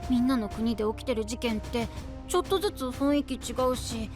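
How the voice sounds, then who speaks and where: A man playing a cute, earnest young boy knight in an anime audio drama, speaking in soft, guileless phrases. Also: very high voice, fairly quick, music in the background. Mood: curious.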